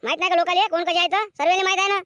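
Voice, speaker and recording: A man playing a combative, sharp-tongued neighbor woman in a village cartoon comedy, taunting in a rural Vidarbha Marathi accent with a pitched-up voice. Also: fairly even intonation, very fast, background noise. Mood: taunting, indignant. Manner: quarrelsome, taunting.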